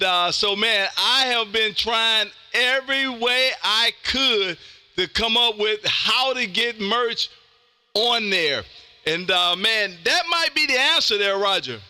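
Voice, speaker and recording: An American man hosting a live amateur radio stream, loud and exuberant, repeating himself for hype as he chats and calls stations. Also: very high-pitched, clean tone. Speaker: amateur radio livestream host.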